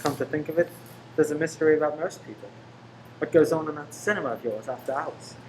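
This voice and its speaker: A woman's voice: sits low, fast talker, lightly acted. A woman playing a charming young man in a radio play, jaunty and inquisitive. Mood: probing. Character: charming, probing young man about town named Ted. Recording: noisy room recording.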